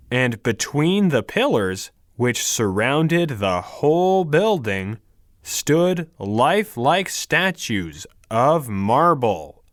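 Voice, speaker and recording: A man reading English words and storybook narration from a script slowly and carefully, with deliberate, measured enunciation. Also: mid-range voice, no room sound, studio quality. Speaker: English reader for a vocabulary lesson.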